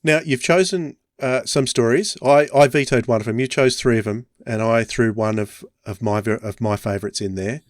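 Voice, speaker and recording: A man's voice: mid-range voice, fairly even intonation, normal speed, few pauses, no room sound. A man hosting a casual podcast chat, speaking close to the microphone. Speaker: podcast host.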